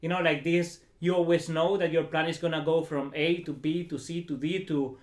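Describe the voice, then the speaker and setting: Higher-voiced man, echoey room. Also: steady tone, moderate pace. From El Salvador. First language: Spanish. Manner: informal, chatty, peppered with filler phrases. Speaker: interview guest, startup founder. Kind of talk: casual interview talk.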